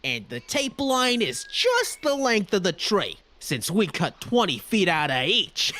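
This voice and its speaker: A sneaky cartoon crook, played by a man, talks in a high, bright voice. He is sly and falsely folksy, taking his time with a lively swing in pitch. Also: slow, exaggerated voice. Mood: smug. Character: sneaky male crook, smirking henchman posing as trapper. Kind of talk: comic dub cartoon acting.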